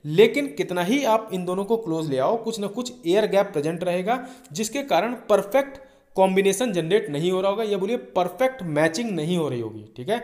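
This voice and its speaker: A man with an Indian accent and a notably high voice delivers an explanatory lecture for exam students. He is didactic, going through each point step by step and regularly checking that listeners follow. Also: animated, fairly quick, a little mellow. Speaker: engineering lecturer.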